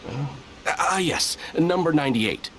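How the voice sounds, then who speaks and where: A man's voice: a little high, expressive, unhurried. A man playing a dutiful, by-the-book soldier in a video game, formal, fussy and precise. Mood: officious. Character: dutiful, by-the-book male soldier, earnest and officious.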